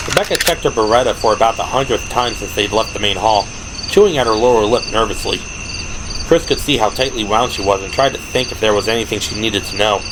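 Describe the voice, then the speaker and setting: Male narrator for dramatic audio fiction. Medium pitch, scripted read, clear layer of night-insect noise behind. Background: crickets and ambient sound effects.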